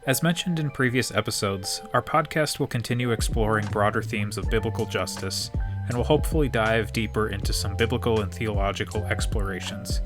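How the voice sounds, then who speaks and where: A man in his thirties hosts a podcast, reading his introduction in a measured, earnest way. A music bed plays clearly beneath his voice. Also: lowish voice. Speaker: podcast host. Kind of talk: scripted podcast introduction and interview.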